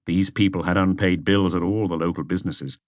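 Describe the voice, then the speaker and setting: Guy reading a detective audiobook, lowish voice, dry studio-like sound, scripted narration. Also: fairly even intonation, a little fast, no echo.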